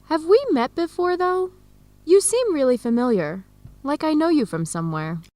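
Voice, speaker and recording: Friendly young woman in a radio drama, curious n candid, highish voice, dry sound. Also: sing-song, moderate pace, darkish tone, no echo. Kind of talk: educational radio drama acting.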